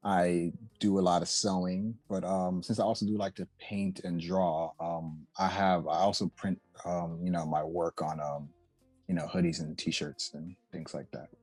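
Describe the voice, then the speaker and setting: A young American man introduces himself with many pauses and fillers over a video call. His voice is very deep and dry, with no room sound. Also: little pitch movement, a little mellow, slightly rough, no room sound, soft background music. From United States. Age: 20s. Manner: hesitant, many "um"s. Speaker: guest. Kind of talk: casual self-introduction.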